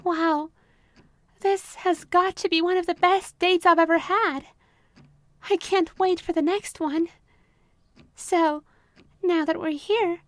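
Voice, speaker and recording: A woman voicing a manipulative, possessive female therapist who talks in a honeyed, calmly controlling way, in an ASMR roleplay recorded close to the mic. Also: extremely high pitch, dry recording. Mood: flirty, sweet. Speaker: voice actress.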